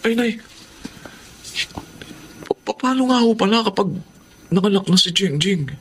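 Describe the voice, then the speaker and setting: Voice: medium pitch, animated, a little slow, a bit bright, breathy edge, full character voice. A performer playing a timid, apologetic young student, a younger brother, pleading and hesitant in a radio drama. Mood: anxious, pleading. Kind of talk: Tagalog radio drama acting.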